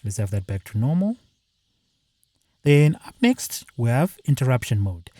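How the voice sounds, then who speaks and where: Mellow-voiced man explaining software steps. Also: mid-range voice, big pitch swings, unhurried, no echo, clean studio sound. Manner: plain step-by-step explaining, with fillers. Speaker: software tutorial presenter. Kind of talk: screen-recorded software walkthrough.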